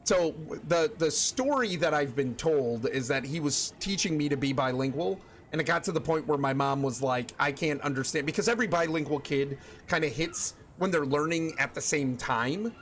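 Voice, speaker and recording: A young man with a slightly raised voice talks loosely to his stream chat, stopping and restarting his sentences as he thinks out loud. Faint ambient noise sits under his voice. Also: a little high, expressive, normal speed, few pauses. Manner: chatty, thinks aloud with many restarts and fillers.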